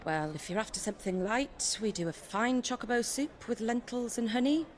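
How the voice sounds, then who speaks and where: Casting: woman as young inn server, fairly high animated voice, brisk and obliging, game cutscene. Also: slight background noise, lightly acted. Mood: friendly, brisk. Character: busy, friendly young tavern serving girl. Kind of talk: video game acting.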